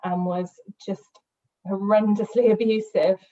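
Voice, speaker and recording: A woman's voice: mid-range voice, steady tone, unhurried, mellow, dull audio. A woman answering on a discussion panel in an earnest, reflective way, with a light laugh now and then.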